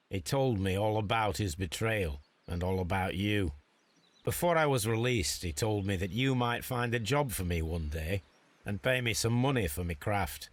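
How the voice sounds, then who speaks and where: A shrewd detective from a mystery game, with a low voice that moves freely up and down. He speaks deliberately and precisely, as if weighing each piece of evidence. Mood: guarded, bargaining. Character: shrewd detective posing undercover as a lockpick thief. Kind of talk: scripted video game acting.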